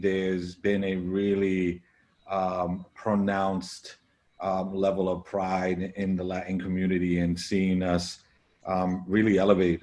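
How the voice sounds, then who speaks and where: A man answering on a panel, halting with many ums and you-knows. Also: deep voice, darkish tone. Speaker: panel guest.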